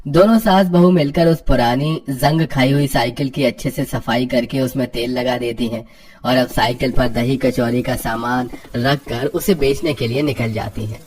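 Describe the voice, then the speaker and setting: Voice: medium pitch, normal speed, a little mellow, faint music behind. A narrator reading a scripted story in a storybook style.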